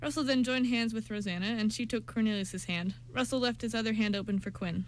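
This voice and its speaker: A woman narrates an amateur radio play from a script. Her voice is fairly high, and she stumbles slightly as she reads. Faint noise runs beneath the recording. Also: a little fast, poor audio.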